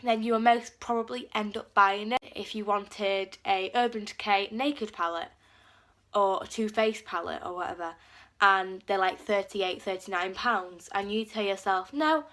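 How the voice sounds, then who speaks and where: Bright-voiced teen girl, chatty. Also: medium pitch, unhurried. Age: teens. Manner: chatty, lots of "like" fillers, acts out quotes. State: has a cold. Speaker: vlogger. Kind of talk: casual talk to camera.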